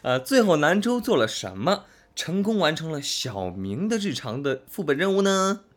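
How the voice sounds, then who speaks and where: Lively male host of a casual livestream. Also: on the high side, normal speed. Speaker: host running a fan quiz livestream. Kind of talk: casual livestream hosting and chat.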